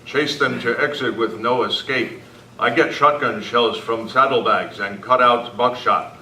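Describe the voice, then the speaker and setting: A man's voice: medium pitch, unhurried, pushed and bright. A man playing a stoic, literal-minded sidekick in an old-time radio western, speaking clipped broken English. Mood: dry, scheming. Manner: clipped, broken English dropping articles and pronouns. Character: Tonto, stoic, literal-minded Native sidekick of a masked lawman. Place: club meeting room, live presentation. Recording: echoey, noisy room, distant mic.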